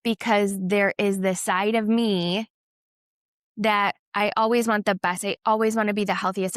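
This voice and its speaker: A young woman with a stuffy, nasal voice from a lingering cold talks earnestly on a podcast. She is candid and faith-driven and often restarts her sentences. The sound is smooth and dry, close to the mic. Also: medium pitch, no echo. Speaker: podcast co-host. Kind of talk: candid casual chat.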